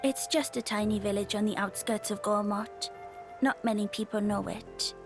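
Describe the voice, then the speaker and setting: A woman's voice: medium pitch, steady tone, normal speed, music in the background, lightly acted. A woman voicing a stubborn, defiant boy of about ten in a video game cutscene. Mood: sullen.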